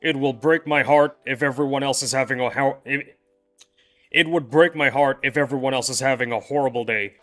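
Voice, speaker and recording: A man's voice: mid-range voice, fairly even intonation, brisk, fairly bright tone. A young man chatting loosely with viewers during a game livestream, often restarting his sentences. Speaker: game streamer. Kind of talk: casual livestream chat with viewers. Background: faint background music.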